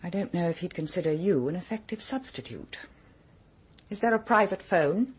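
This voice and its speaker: A composed, superior female secretary in a British radio drama. Her English voice is fairly low with a husky grain, and her phrasing is formal and clipped. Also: lively intonation, moderate pace. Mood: haughty, businesslike. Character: cool, brisk, haughty female secretary to a powerful man.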